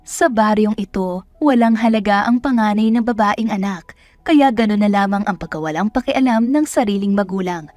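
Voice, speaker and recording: A woman's voice: mid-range voice, normal speed, darkish tone, no room sound, faint music behind. Dry-sounding Filipino woman narrating a story.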